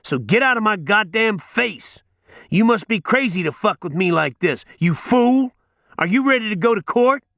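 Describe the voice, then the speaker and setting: A man voicing a loud, bullying male judge who barks and yells profane outbursts. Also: sits high, big pitch swings, moderate pace, creaky, no echo, clean studio sound, voice pushed up, full character voice. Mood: raging. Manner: barking, yelling, profane outbursts.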